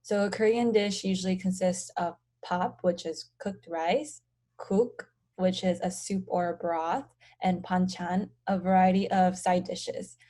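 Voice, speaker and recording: A young woman, a pharmacy student from the United States, explains home cooking in a casual, soft-spoken interview manner with frequent fillers. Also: on the low side, fairly even intonation, measured pace. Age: young adult.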